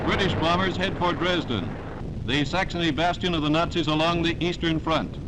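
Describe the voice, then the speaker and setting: A man's voice: on the high side, normal speed, heavy background noise. A man narrating a 1940s newsreel in a dramatic, declamatory scripted style, on a vintage soundtrack.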